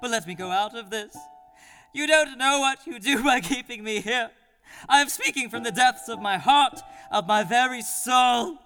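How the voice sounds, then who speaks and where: A frantic wailing asylum inmate. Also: male voice, very high-pitched, moderate pace, bright, forceful tone, exaggerated voice. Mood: pleading. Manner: desperate, wailing, imploring. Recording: echoey hall with music behind.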